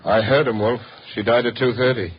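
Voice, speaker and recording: An actor playing a scheming, defiant murderer who blusters emphatically, in a 1950s radio crime drama. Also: male voice, medium pitch, fairly even intonation, a little fast, natural voice. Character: scheming male murderer, defiant and rattled when cornered. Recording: dull, noisy old radio recording.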